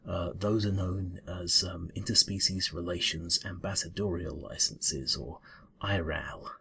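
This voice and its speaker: A man's voice: deep voice, steady tone, unhurried, vocal fry, sharp tone, husky, full character voice. A man voicing a polite, fussy, slightly ruffled bureaucrat cat in an ASMR interview roleplay, mumbling hesitantly and formally.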